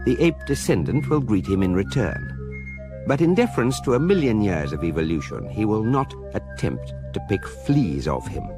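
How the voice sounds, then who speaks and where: Over prominent music, a wry British man narrating a galactic guidebook in a radio comedy. His delivery is measured and deadpan, yet swoops widely in pitch for each precise phrase. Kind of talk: scripted comic radio drama narration. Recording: radio production with music behind.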